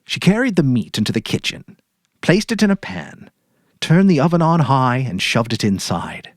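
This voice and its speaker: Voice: mid-range voice, sing-song, normal speed, dry recording, clean studio sound. A narrator giving a dramatic reading of a story.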